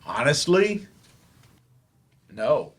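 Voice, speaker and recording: Slow, defensive, frustrated man played by an actor. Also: medium pitch, sing-song, slightly rough, exaggerated voice. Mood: aggrieved, defensive. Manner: hesitant, grudging, defensive. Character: defensive, frustrated man in therapy, once-promising writer turned teacher. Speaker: actor in a staged reading. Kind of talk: staged play reading, naturalistic dialogue. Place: staged reading at a library.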